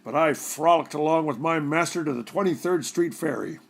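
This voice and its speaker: A man reading a humorous short story aloud in an expressive storytelling style. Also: on the high side, moderate pace, gravelly. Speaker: story reader.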